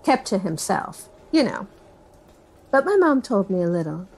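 A woman's voice: mid-range voice, sing-song, unhurried, a little mellow, breathy edge, heavily acted. A woman playing a chatty small-town niece reminiscing about her uncle in a folksy, rambling documentary interview. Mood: fond reminiscing. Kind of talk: acted documentary interview, conversational.

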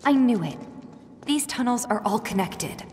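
An actress voicing a confident, practical young resistance fighter in a video game, assured and matter-of-fact. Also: female voice, a little high, animated, a little slow, a little mellow. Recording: echoey tunnel reverb, faint noise.

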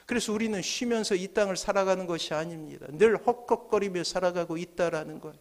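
High-pitched male preacher, emphatic delivery. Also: fast. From South Korea. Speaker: pastor. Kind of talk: sermon. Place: church sanctuary, at the pulpit. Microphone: gooseneck podium microphones.

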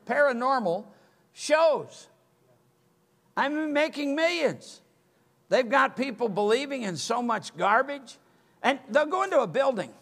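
A man's voice: extremely high pitch, animated, unhurried, pauses often, fairly bright tone. An older man preaching a sermon from a church pulpit, speaking emphatically and gesturing as he goes. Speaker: pastor. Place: church sanctuary with empty pews.

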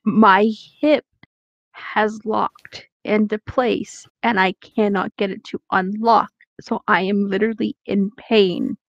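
A woman's voice: mid-range voice, steady tone, slow, no echo, dull audio. A woman chatting casually and reading aloud as co-host of a comedy reading show.

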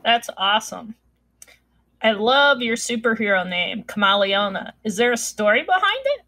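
Bright enthusiastic woman host. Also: fairly high pitch, very animated, moderate pace. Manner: enthusiastic, upbeat. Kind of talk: scripted interview questions.

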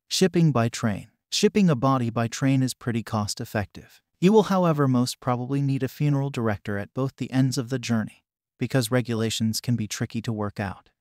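A man narrating an informational script in an even, measured reading voice, recorded close on a voice-over mic. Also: medium pitch, dry recording.

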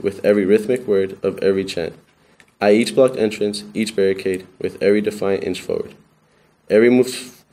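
A man recites verse in a deep register. His tone is dark and mellow, and he delivers the lines as a student reading poetry aloud.

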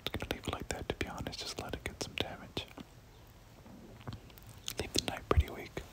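A person whispering casual, slangy ASMR gameplay commentary, muttering reactions and repeating short phrases. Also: fairly quick. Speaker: gaming video creator.

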